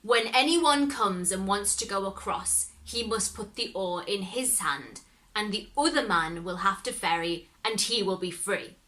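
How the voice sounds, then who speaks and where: A woman voicing a gruff male devil in a storybook reading, scornful and blunt. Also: medium pitch, expressive, measured pace, pushed and bright, poor audio.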